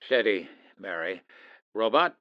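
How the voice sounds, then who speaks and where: Radio play lead: commanding middle-aged father played by a man, suspicious and protective, very animated, close dry sound. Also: on the low side, measured pace, no echo, dull audio. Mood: stern, probing. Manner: urgent, authoritative, theatrical. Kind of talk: old-time radio drama acting.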